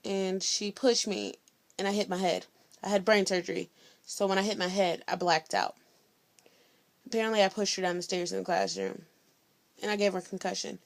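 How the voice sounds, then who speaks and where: A teenage girl from Indiana telling a story in a blunt, sassy, chatty way, loud-voiced and full of slang. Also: mid-range voice, steady tone, normal speed, pauses often, dry recording. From Indiana, USA. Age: teens. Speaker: vlogger. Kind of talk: casual storytelling vlog.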